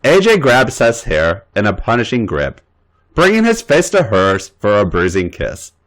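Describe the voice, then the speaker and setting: A man hosting a podcast, giving a dramatic, expressive reading of an erotic fan fiction story. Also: lowish voice, a little slow.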